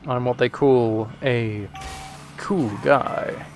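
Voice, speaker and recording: A man's voice: mid-range voice, fairly even intonation, drawn-out words, a little mellow, faint music behind. A man giving casual, playful gameplay commentary, often talking to himself. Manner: playful, talks and sings to himself. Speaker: game streamer.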